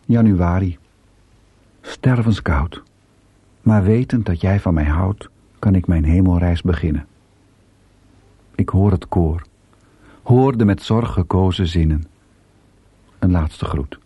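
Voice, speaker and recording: A man with a husky, breathy voice solemnly reciting poetry. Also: sits low, slow, long pauses, warm tone, no room sound.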